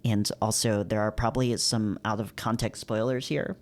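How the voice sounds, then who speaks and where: A man's voice: fairly low pitch, steady tone, moderate pace. A man reading stories aloud casually, playfully adding asides and stumbling over unfamiliar words. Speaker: video creator reading visual novels aloud. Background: music.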